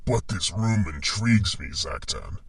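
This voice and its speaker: A man voicing a sarcastic, scheming villain henchman in an animated fan dub, with a mocking delivery. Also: lowish voice, a little slow, creaky, slightly rough, dry recording, clean studio sound, full character voice. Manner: mocking, sardonic.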